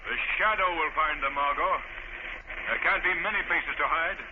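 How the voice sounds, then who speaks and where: Man as a brave gentleman pilot, clipped n composed, highish voice, tinny old radio. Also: moderate pace, noisy background, lightly acted. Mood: calm, resolute. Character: resolute gentleman adventurer piloting a seaplane. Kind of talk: 1940s radio drama acting.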